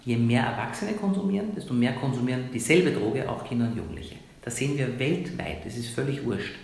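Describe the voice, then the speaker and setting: A man from Iran who is a doctor, arguing emphatically as an interview guest, often ending points with a quick tag question. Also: medium pitch, animated, creaky, a little mellow. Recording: very echoey room.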